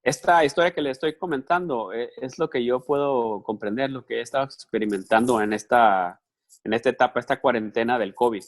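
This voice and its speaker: A man's voice: mid-range voice, a little fast. A man working as a live interpreter for a conference speaker, talking conversationally with repeated words and restarts.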